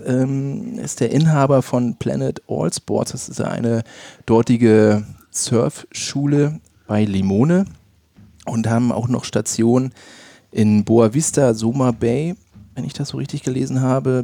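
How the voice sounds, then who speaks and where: Mellow husky-voiced podcast host. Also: male voice, mid-range voice, unhurried, no room sound. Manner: chatty, rambling questions. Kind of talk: casual podcast interview.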